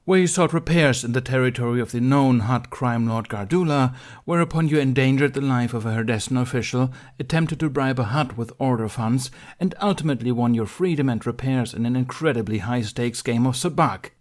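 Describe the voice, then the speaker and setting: A man's voice: medium pitch, brisk, few pauses, a little mellow, pitched above the performer's own voice, natural voice. A man voicing a stern, exasperated council master in an audiobook, formally interrogating in a businesslike, accusing way. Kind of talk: audiobook character dialogue.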